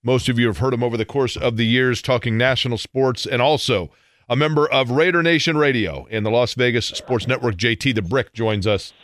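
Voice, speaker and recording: A man's voice: medium pitch, fairly quick, fairly bright tone, no room sound. A man hosting a sports radio interview, speaking into a close studio microphone.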